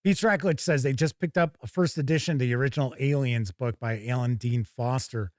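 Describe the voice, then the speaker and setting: A man's voice: medium pitch, fairly quick, darkish tone, no room sound, studio quality. A man hosting a tabletop gaming show, chatting casually and thinking aloud as he gives live first-look commentary.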